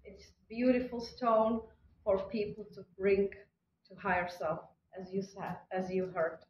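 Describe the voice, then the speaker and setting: A woman whose first language is Czech, giving a reflective spiritual talk at a conference in slow, halting phrases with pauses. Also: mid-range voice, darkish tone, slight background noise. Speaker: conference speaker. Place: hotel conference hall. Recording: distant, dull, echoey hall recording.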